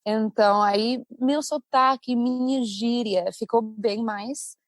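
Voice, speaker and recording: An American woman, a native English speaker and English teacher, chatting as a podcast guest in a lively storytelling manner. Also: on the high side, slow, no echo. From United States. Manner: chatty, animated storytelling with laughs. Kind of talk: casual podcast conversation.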